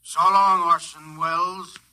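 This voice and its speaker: An actor playing a gruff, dismissive male newspaper editor, curt and mocking, in 1950s radio drama style. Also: high voice, level tone, slow pace, bright, forceful tone, exaggerated voice. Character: gruff, dismissive newspaper city desk man.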